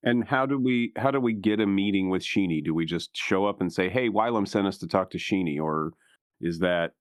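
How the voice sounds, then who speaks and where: Low-voiced, dry-sounding man, casually blunt. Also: fairly quick, clear, resonant tone, no room sound. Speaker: player in a tabletop role-playing game. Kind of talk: casual in-character improvised chat.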